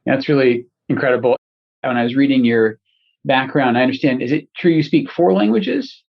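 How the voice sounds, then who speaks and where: A man from Scotland hosting a podcast interview, talking in a friendly, halting way with many restarts. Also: mid-range voice, creaky, dull audio. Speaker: podcast host. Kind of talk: conversational interview.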